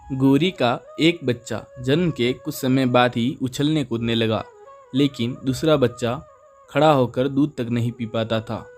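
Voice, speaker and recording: A man's voice: medium pitch, a little mellow. A man narrating a scripted children's animal story as its storyteller. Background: music.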